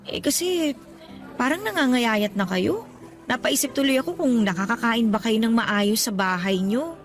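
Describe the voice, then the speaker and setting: Protective, earnest younger female role for radio drama, played by an actress, high voice over soft music. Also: expressive, normal speed, natural voice. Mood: concerned. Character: caring, protective younger woman, indignant surrogate daughter. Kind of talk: Tagalog radio drama acting.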